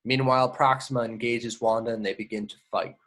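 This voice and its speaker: A man's voice: mid-range voice, steady tone, fairly quick, fairly bright tone. A man reading screenplay action lines at a table read, matter-of-fact with the odd stumble. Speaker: stage-direction reader.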